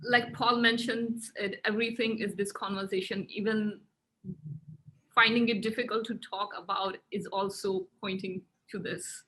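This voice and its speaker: A woman interviewer asking brief, gentle questions. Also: mid-range voice, steady tone, normal speed, poor audio.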